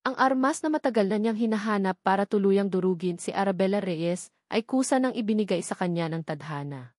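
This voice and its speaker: Female narrator for dramatic love stories. Solemn, suspenseful, measured delivery, mid-range voice, very dry recording. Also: medium pitch, expressive, a little mellow, no echo. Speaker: narrator of a romance drama story. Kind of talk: scripted dramatic story narration.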